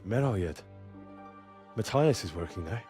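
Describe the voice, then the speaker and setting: A man's voice: lowish voice, animated, unhurried, mellow, faint music behind. A young man playing an earnest, determined medieval lad in a video game, respectful in his speech. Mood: serious. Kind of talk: dramatic video game acting.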